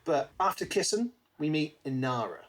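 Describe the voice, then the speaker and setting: A British man co-hosting a podcast, discussing a book casually in an animated storytelling way. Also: fairly high pitch, normal speed. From Britain. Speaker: podcast co-host.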